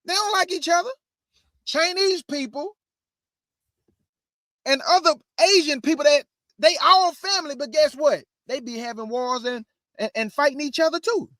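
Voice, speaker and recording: A man's voice: extremely high pitch, moderate pace, pauses often, a bit bright, no room sound. A man hosting his show, giving casual religious commentary in a preachy way and calling his listeners family. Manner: preachy, addresses audience as family. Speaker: host.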